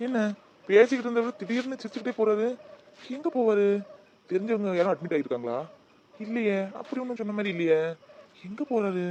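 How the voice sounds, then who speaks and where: An actor playing a chatty, rambling office coworker. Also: male voice, high voice, lively intonation, fast talker, darkish tone, a little hiss or hum. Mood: puzzled. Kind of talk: Tamil drama acting. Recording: dull lo-fi audio.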